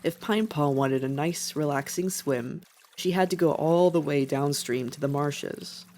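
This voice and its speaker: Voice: mid-range voice, measured pace, darkish tone. A narrator reading scripted fiction as the storyteller of an animal tale. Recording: faint background noise.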